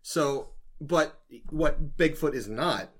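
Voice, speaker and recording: A man's voice: on the high side, animated, slow, fairly bright tone, husky. A man co-hosting a podcast in a casual chat about folklore, talking in a jokey, colloquial way. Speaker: podcast co-host.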